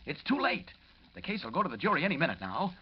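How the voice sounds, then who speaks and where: A man's voice: on the high side, wildly swooping pitch, quick pace, husky, heavily acted. An actor playing an earnest, insistent male newspaper reporter, urgent and persuasive in 1940s radio drama style. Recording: dull old radio recording.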